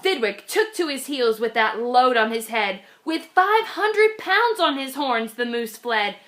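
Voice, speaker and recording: A woman reading a children's storybook aloud in an expressive, dramatic way with deliberate phrasing. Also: high voice. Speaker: children's read-aloud reader.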